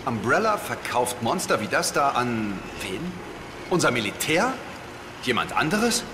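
A young man playing a gruff, bewildered but brave police officer in an audio drama. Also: medium pitch, very animated, unhurried, background noise. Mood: incredulous. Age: young adult. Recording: long reverb, loud background music.

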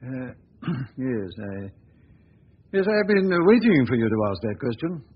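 A flustered husky police chief. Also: male voice, medium pitch, big pitch swings, measured pace. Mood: worked-up. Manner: hesitant, with frequent "uh"s and throat clearing. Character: flustered, hesitant male police chief, middle-aged. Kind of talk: 1940s radio acting. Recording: dull lo-fi 1940s radio recording.

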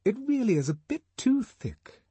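Man playing a prim headmistress, high and huffy, storybook. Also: very animated, normal speed, warm tone, slightly rough, no echo, exaggerated voice. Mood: indignant. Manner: huffy, offended.

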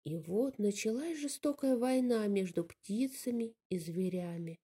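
A woman with a slightly husky voice, telling a fairy tale aloud as an expressive storyteller. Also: mid-range voice, unhurried.

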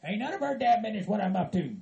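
A man voicing an angry, foul-mouthed Southern hillbilly who rants and shouts as if deeply wronged. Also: very animated, very fast, creaky, dull audio, exaggerated voice. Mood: surly, angry. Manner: hot-tempered, shouting, profane.

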